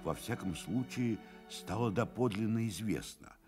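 A man's voice: on the low side, normal speed, darkish tone, faint music behind. A man giving scripted literary narration for a radio play.

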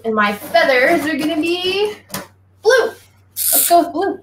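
A young woman giving a relaxed, chatty drawing lesson, explaining each step while she draws at home, speaking away from a laptop mic. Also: very high voice, measured pace, roomy sound, a little hiss or hum, rough recording. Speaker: guest athlete teaching drawing. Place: small home room. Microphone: laptop mic from across the room, facing away.

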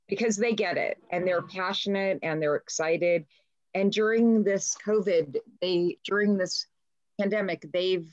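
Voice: medium pitch, no room sound, lo-fi sound. A person speaking earnestly on a video call, urging others to involve young people. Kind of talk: casual discussion on a video call.

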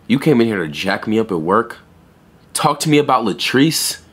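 An actor playing an unimpressed young pet store clerk in an audio drama, terse, blunt and deadpan. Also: male voice, lowish voice, normal speed, husky, heavily acted. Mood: sardonic. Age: young adult.

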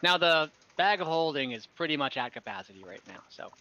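A young man narrating as game master over a video call, relaxed and rambling with many fillers. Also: fairly high pitch, fairly quick, a little hiss or hum. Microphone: headset on a video call. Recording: dull lo-fi video call audio.

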